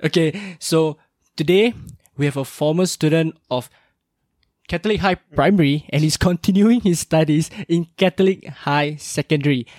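A man's voice: on the high side, moderate pace, darkish tone, dry recording, clean studio sound. A young man hosting a podcast interview, talking in an upbeat, chatty and emphatic way. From Singapore. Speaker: podcast host. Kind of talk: casual interview chat.

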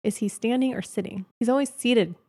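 A woman's voice: on the high side, fairly quick, dark tone. A woman earnestly explaining Bible passages in a casual faith conversation. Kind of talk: casual faith podcast chat.

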